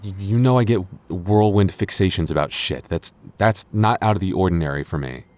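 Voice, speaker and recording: Young male lover played by a voice actor, deep and soft-toned, possessive yet devoted, gets defensive, intimate roleplay. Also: lively intonation, brisk. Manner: stammering, defensive, teasing asides. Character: jealous, protective young boyfriend, defensive but loving. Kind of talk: intimate boyfriend audio roleplay.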